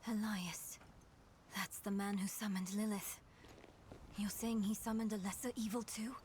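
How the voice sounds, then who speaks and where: A woman plays an earnest young adventurer in a dark fantasy game. Her voice sits at an average pitch for a woman. Faint ambience runs behind her. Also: fairly even intonation, measured pace, slight background noise, natural voice. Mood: urgent, questioning.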